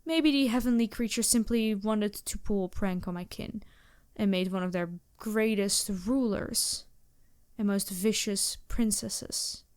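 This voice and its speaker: A woman voicing an empathetic, teasing demon princess in an ASMR roleplay, speaking to the listener in formal, measured phrases. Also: mid-range voice, a little mellow, clean tone, lightly acted. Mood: solemn, reflective.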